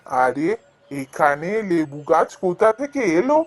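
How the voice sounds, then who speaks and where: A woman's voice: low voice, sing-song, unhurried, heavily acted. A woman voicing a poor, kindly, downcast male street seller in a cartoon fairy tale, with a homely, plaintive delivery. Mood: puzzled. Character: poor, downcast, kindly husband who sells sherbet.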